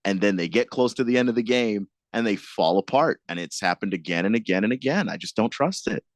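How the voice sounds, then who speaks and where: A man on a sports radio show, breaking down basketball teams in halting speech with many restarts and easy laughs. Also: lowish voice, animated, no echo, studio quality. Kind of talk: casual sports radio chat.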